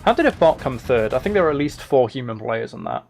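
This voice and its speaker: A man talks casually on a live gaming stream, at a middle pitch. He chats with his viewers and thinks out loud while he plays. Guitar music runs clearly behind his voice. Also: very animated, fast. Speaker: live streamer playing video games.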